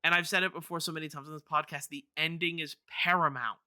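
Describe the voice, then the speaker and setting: Very bright, clear-voiced male podcaster. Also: a little high, fairly even intonation, quick pace, no echo, clean studio sound. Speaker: gaming podcast co-host. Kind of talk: casual chat about video games.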